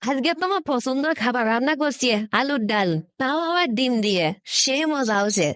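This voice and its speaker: A woman's voice: high-pitched, animated, a little fast, a bit bright, no room sound, clean studio sound. A woman playing a nagging, sceptical village housewife in a comic cartoon, questioning and exclaiming, with a northern Bangladeshi Bengali accent. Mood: obliging.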